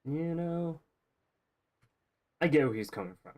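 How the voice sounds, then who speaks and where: A man's voice: a little high, expressive, unhurried, a little mellow. A young man casually commenting on his gameplay, rambling with lots of fillers and laughs. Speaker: gameplay streamer.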